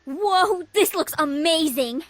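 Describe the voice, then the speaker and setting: An outspoken young woman in a game. The pitch is high and the manner casual and bold, over faint background music. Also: animated, slow, a bit bright, exaggerated voice. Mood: amazed. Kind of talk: visual novel game voice acting.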